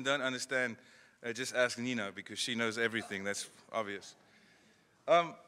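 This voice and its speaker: A man's voice: mid-range voice, sharp tone, clean tone. A South African man, English his second language, giving a conversational keynote talk to an audience with self-deprecating humor. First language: not English. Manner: conversational, self-deprecating, acts out phone calls. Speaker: keynote speaker. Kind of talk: motivational speech to an audience. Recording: echoey hall.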